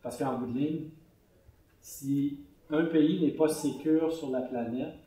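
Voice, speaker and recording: Québécois man presenting at a conference, mellow voice, heard from a distance in a reverberant hall. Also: a little high, takes it slowly. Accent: Québécois French. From Canada (Quebec). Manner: conversational, explanatory with many fillers. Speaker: conference speaker. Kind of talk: conference talk answering questions. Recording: echoey hall recorded from far off.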